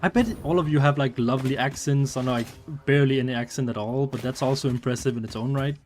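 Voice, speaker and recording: A man's voice: mid-range voice, steady tone, quick pace, a little mellow, slight background noise. A man from Denmark with a Danish accent, chatting casually on a live stream, rambling with many fillers and restarts.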